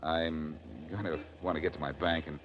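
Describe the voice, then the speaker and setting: An actor playing a smooth, confident wisecracking detective in a 1940s radio drama. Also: male voice, rumbling low, fairly even intonation, normal speed. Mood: easygoing. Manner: dry, wisecracking. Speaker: lead detective. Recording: dull 1940s radio recording, faint hiss.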